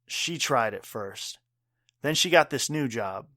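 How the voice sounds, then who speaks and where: A man's voice: medium pitch, animated, slow pace, bright, forceful tone, no room sound, clean studio sound. An actor playing a proud, wounded war veteran father and bartender in an audio drama, speaking earnestly and tersely. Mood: pained, proud.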